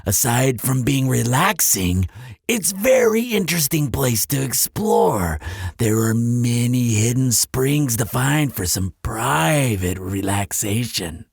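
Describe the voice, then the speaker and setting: Man acting as male demon concierge, courteous and eager, lively swooping pitch, creaky husky edge, polished sales patter, audio roleplay. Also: slow, no room sound, studio quality, heavily acted. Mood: obliging, enthusiastic. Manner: polished, salesmanlike, obliging customer-service patter. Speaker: hotel concierge character. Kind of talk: scripted audio roleplay addressed to the listener.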